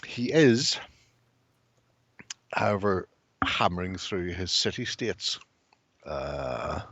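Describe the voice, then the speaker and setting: A man's voice: low voice, lively intonation, slow, a bit bright, breathy edge, no room sound. A middle-aged man co-hosting a casual hobby chat, dry and sardonic, on a headset at home. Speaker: co-host. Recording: dull lo-fi stream audio.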